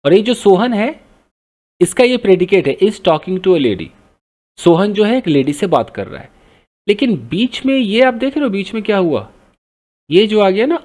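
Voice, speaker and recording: A man's voice: high voice, a little mellow. A man teaching English grammar from a newspaper, patiently repeating points and asking his learners questions. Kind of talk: grammar lesson explaining a newspaper.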